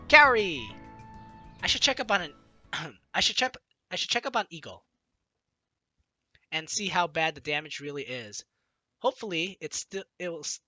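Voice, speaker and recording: Lively, bright-voiced man reading a game aloud. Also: fairly high pitch. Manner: breaks into giggles and asides while reading. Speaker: let's play host. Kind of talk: reading a visual novel aloud with casual commentary. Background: game music.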